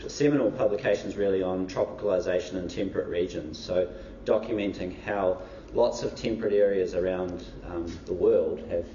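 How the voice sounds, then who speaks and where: A low, mellow-voiced man introduces speakers at a university lecture, loose and chatty with plenty of pauses. His voice sounds distant and reverberant, picked up from across a hall on a muffled mic. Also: slight background noise. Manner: relaxed, filler-heavy, casual. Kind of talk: informal event hosting.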